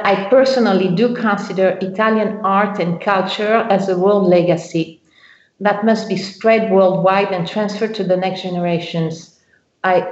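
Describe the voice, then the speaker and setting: A woman working as a bank's culture director answers interview questions hesitantly, often pausing to find her words. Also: medium pitch. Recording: roomy, dull lo-fi sound.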